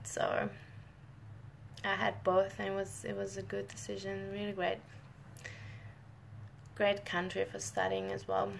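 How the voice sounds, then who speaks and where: Chatty woman from northern Italy, gravelly. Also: mid-range voice, fairly bright tone, slight background noise. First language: Italian. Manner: friendly, chatty, many restarts and short laughs. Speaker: scientist hosting a live Q&A. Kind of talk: casual live-stream chat.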